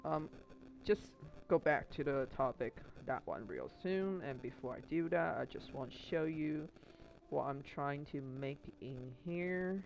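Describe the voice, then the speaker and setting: Rambling Taiwanese streamer, Aussie-Canadian accent. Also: male voice, fairly high pitch, expressive, measured pace, highs cut off, slight background noise. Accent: mixed Australian and Canadian. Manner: rambling, many restarts and fillers. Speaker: Twitch streamer. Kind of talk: casual chat while crafting.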